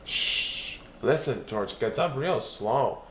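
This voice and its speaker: A man reading a picture book aloud as a storyteller, expressive and sing-song, playing with repeated words. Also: medium pitch, slow pace. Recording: roomy echo with faint background noise.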